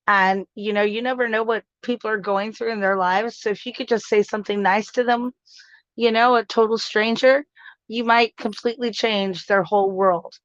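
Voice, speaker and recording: A woman's voice: medium pitch, fairly even intonation, normal speed, dry recording, dull audio. A woman co-hosting a casual live stream, upbeat and chatty, often saying "you know". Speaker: co-host.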